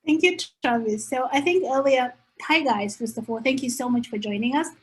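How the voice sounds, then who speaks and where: Woman, Zimbabwean accent, husky, slightly high pitch, informal webinar panelist. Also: moderate pace, poor audio. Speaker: graduate student panelist.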